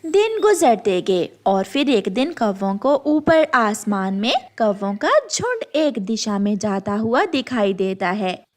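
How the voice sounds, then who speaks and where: A woman narrating a scripted children's cartoon story in an expressive storytelling manner. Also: mid-range voice, slow pace, hardly any pauses.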